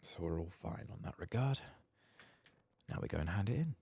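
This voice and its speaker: A man softly narrating a video game playthrough in ASMR style, murmuring and musing hesitantly to himself as he plays. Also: deep voice, expressive, normal speed, vocal fry, breathy edge. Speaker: gaming channel host. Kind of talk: soft-spoken ASMR game playthrough commentary.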